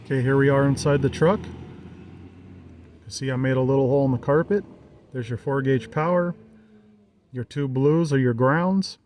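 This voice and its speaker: A man talks through his handiwork like a casual vlogger. His delivery is plainspoken and matter-of-fact, at a medium pitch. He records himself on a handheld camera around a parked truck. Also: darkish tone. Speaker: vlogger showing a vehicle radio installation. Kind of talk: casual hands-on walkthrough narration.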